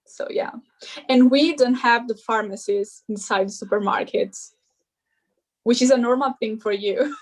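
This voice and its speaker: A teenage girl with an Italian accent, an exchange student from Italy, speaking halting English in an interview. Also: fairly high pitch, low-quality recording. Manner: halting, searching for words.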